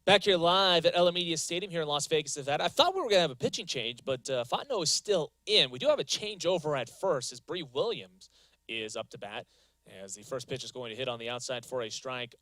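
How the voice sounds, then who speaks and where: A man calling live softball play-by-play as a sports announcer, moving between measured stat recaps and calls of the action. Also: a little high, dry recording, low-quality recording. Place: live broadcast from a softball stadium.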